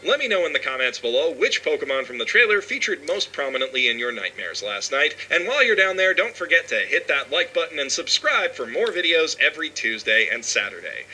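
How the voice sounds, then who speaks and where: A young man narrates a scripted video essay. His voice is strikingly bright and forceful, and his mid-range pitch moves in lively swings. A faint noise sits behind him. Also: brisk, hardly any pauses, poor audio.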